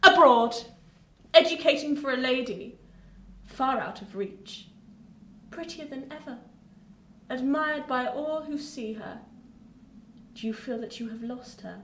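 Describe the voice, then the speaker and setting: An actress playing a bitter, haughty older English lady in a period radio drama, speaking slowly and imperiously in a theatrical manner. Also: sits high, a bit bright. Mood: mocking, bitter. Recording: roomy, distant from the mic.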